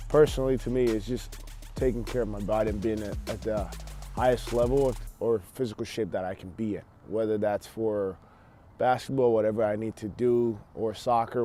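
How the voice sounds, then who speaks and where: A man's voice: lowish voice, mellow. A man from Nigeria, a soccer player and coach, giving earnest, plain-spoken advice in interview sound bites.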